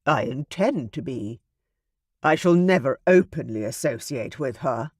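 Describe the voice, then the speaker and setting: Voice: medium pitch, lively intonation, a little slow, no echo, clean studio sound. A narrator voicing a duke who speaks coldly and dismissively to his wife. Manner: cold and dismissive. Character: cold, dismissive aristocratic man, a duke. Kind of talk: audiobook character voice.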